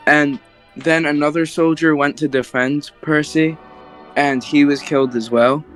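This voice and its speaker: A young man presents facts informally at a slow, halting pace. His voice sits a little higher than average for a man, with music playing softly behind him.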